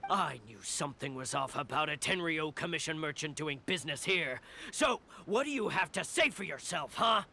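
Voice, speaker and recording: An actor voicing a stern male soldier who interrogates in a forceful, accusing way in a video game. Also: a little high, animated, moderate pace, hardly any pauses, exaggerated voice.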